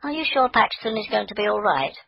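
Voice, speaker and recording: A man's voice: high voice, very animated, a little fast, creaky, husky, dull audio, pitched above the performer's own voice, full character voice. A man voicing an eager, anxious little girl in a children's storybook. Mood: eager, worried.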